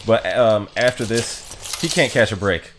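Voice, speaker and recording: A man's voice: fairly low pitch, normal speed, noisy background. A man streaming a video game, giving casual, offhand commentary that loosely explains what is going on. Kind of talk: casual let's play commentary. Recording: voice over game music. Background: game music.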